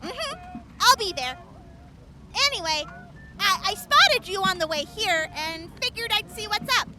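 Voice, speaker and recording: A woman plays a tough but friendly young medic in a post-apocalyptic radio play. Her voice is strikingly high and swooping, unhurried, casual and caring, recorded with clear background noise. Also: big pitch swings, full character voice. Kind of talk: post-apocalyptic audio drama acting.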